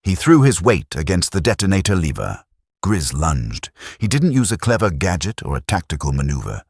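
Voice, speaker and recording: A man narrating a science fiction audiobook in a steady, dramatic storytelling manner, close to a studio mic. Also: low voice, normal speed, gravelly, husky, no room sound. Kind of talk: scripted narration.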